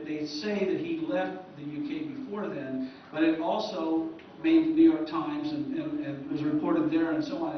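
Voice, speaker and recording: A man giving an informal history talk at a convention, conversational and halting, recorded from far off in an echoey room. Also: on the high side, lo-fi sound, a little hiss or hum. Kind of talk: informal lecture.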